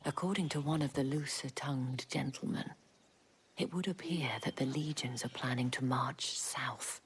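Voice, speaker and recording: An actress playing a composed courtesan-house madam in a game cutscene, speaking low and breathy with measured, formal, knowing phrasing. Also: female voice, slight background noise. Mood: hushed, knowing. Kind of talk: dramatic video game cutscene acting. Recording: loud music under the voice.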